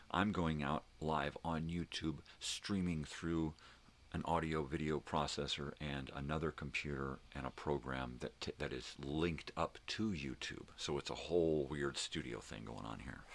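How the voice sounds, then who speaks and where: Older male livestream host: extremely low, creaky voice, folksy off-the-cuff rambling. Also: measured pace. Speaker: host of a daily livestream show. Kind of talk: casual rambling livestream chat.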